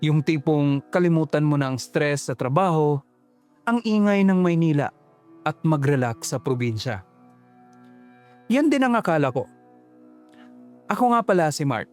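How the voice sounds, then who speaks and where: A man narrating a first-person horror story in a slow, ominous, reflective way. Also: fairly high pitch, a little mellow.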